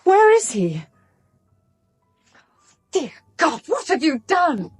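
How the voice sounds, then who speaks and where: A woman's voice: high voice, wildly swooping pitch, slow pace, no echo, faint music behind, full character voice. A British actress playing a brave, determined young woman in peril, desperate and urgent, in an audio drama. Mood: anxious, accusing. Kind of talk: full-cast audio drama acting.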